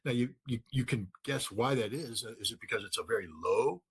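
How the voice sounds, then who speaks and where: A man with an American accent giving conversational political commentary, halting and repeating words as he goes. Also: medium pitch, fairly bright tone. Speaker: interview guest, military commentator.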